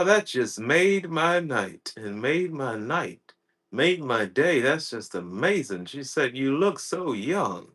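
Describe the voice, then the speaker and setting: A man of thirty talks slowly and loosely on a livestream. His voice is bright, and he often stops on "uh" and says the same thing again. He sounds like a coach speaking straight to his viewers. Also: fairly high pitch. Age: 30s. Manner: slow, repetitive, many fillers and restarts. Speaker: personal development coach. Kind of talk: casual livestream chat.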